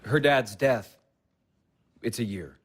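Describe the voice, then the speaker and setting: An actor playing Andrew, an earnest aspiring writer and husband, talking defensively in an audio drama. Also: male voice, slow. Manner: earnest, defensive, pitch swinging widely.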